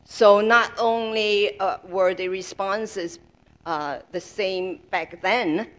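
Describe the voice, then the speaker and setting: Halting female sermon interpreter, mid-pitched. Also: a bit bright. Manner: halting, pieces sentences together in short fragments.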